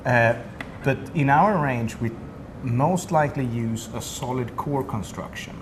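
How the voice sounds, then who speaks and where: Swedish-accented man, company rep, mid-pitched, detailed friendly explainer, noisy room. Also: a little slow. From Sweden. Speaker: company representative. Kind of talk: product demo interview.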